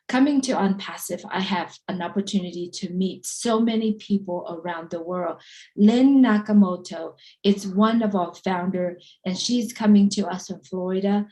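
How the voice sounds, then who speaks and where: Middle-aged woman, live-stream co-host, emphatic promo pitch. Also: dark tone, poor audio. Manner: upbeat, emphatic sales talk.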